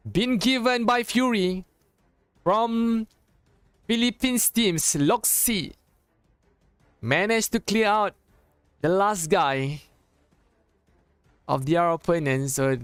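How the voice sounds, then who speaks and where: A young man from Malaysia with a Malaysian accent, a Malay native speaker casting a live mobile esports match in English, chatty and joking, with halting phrasing and frequent restarts. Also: sits high, a bit bright, smooth, no echo, faint music behind. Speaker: esports caster. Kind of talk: live esports game commentary.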